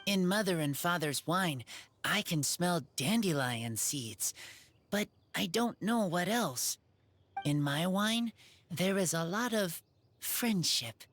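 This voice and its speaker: Low-pitched young wild boy, slow halting speech, earnest and loyal, game dialogue, faint noise. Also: lively intonation. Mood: thoughtful, sincere. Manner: halting, short simple sentences. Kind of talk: video game voice acting.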